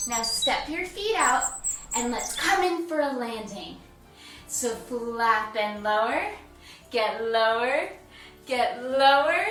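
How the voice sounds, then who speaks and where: A woman hosting a children's read-aloud and movement lesson, instructing young children in an animated, singsong way. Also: high voice. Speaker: children's yoga and story time host. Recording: roomy echo, distant mic, music bed. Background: music.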